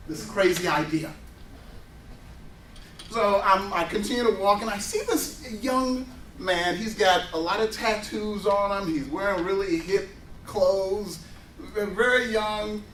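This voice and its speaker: A man's voice: high voice, slight background noise. A man in his forties presenting a workshop to an audience, telling personal stories and acting out the dialogue in them. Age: 40s. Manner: animated storyteller who acts out dialogue. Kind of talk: workshop talk with personal anecdotes. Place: indoor workshop room with an audience. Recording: roomy room, voice picked up from a distance.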